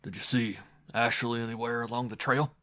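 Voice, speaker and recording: A man's voice: little pitch movement, normal speed, sharp tone, close to an everyday voice. A man voicing a harsh, curt, brusque character in a narrated story, suspicious and easily alarmed. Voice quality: deep, harsh. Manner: curt, short-clipped, brusque. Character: harsh, gaunt, brusque man, grudging and prone to terror.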